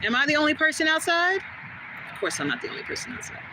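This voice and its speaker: A woman with a smooth, soothing voice, chatting casually with viewers during a live stream and answering their comments as she goes. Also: high-pitched, quick pace. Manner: relaxed, rambling, talks back to chat comments. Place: outdoors on a quiet street. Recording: noisy outdoor phone recording.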